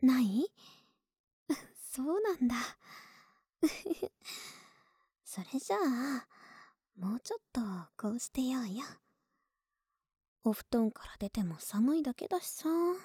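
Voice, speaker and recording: An actress plays a young woman next door, sleepy and affectionate, coaxing her listener to stay cuddled up. Her high voice is mellow and often drops to a whisper. She speaks slowly and tenderly, very close to the mic, in a soothing ASMR drama style. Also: very animated, no room sound, exaggerated voice. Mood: coaxing, playful. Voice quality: soft, breathy, often whispered. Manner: slow, tender, coaxing, drawn-out drowsy words. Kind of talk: Japanese ASMR situation voice drama, intimate and soothing. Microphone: very close ASMR microphone, dry sound.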